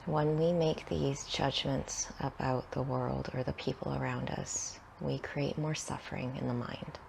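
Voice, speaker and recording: Slow, low, creaky-voiced woman guiding meditation. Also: fairly even intonation, darkish tone, a little hiss or hum, low-quality recording. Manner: soothing, unhurried, with long pauses between short phrases. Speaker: meditation guide. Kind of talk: guided meditation narration.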